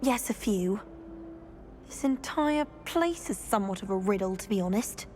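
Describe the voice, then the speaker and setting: A woman's voice: medium pitch, animated, normal speed, darkish tone, slight background noise, close to an everyday voice. A young woman with a British accent playing a bookish, slightly proud teenage witch in a video game, precise and self-assured. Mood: puzzled, determined. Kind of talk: scripted game cutscene acting.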